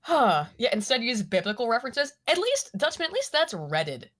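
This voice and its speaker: A woman hosting a casual political chat stream, talking in animated, rambling bursts full of restarts and self-corrections. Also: a little high, moderate pace. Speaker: stream host. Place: lives in the UK.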